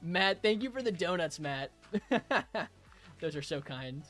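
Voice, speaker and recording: A hoarse young man live-streaming a game, chatting casually with viewers, chuckling and thanking them. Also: fairly high pitch, expressive, unhurried, a bit bright, faint music behind. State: hoarse, raspy throat.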